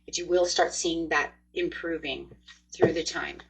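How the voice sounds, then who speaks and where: A woman's voice: low-pitched, steady tone, measured pace, vocal fry, noisy background. A woman in her forties giving a chatty, upbeat tarot card reading, speaking straight to her viewers. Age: 40s. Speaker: tarot reader.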